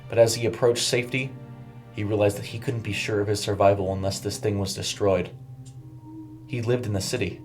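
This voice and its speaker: A man's voice: lowish voice, steady tone, a little fast. A man narrating a scripted horror short story in a dramatic storytelling style. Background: music under the voice.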